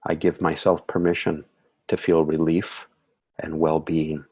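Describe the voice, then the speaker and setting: A man leading a guided meditation in a soothing, measured way, with calm phrasing and gentle affirmations. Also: a bass voice, slightly rough.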